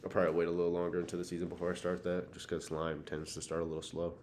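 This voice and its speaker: A man's voice: low-pitched, completely flat delivery, very fast, a little mellow. A young man co-hosting a podcast, chatting informally about shows with lots of slang and swearing. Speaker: podcast co-host. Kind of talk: casual TV and anime chat. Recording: faint background noise.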